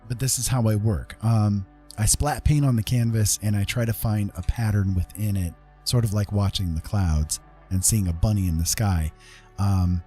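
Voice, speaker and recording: A man with a husky voice chatting casually as he paints on a live stream, rambling and self-deprecating, often checking that listeners follow. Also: fairly low pitch, dark tone. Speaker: artist and writer hosting a live stream.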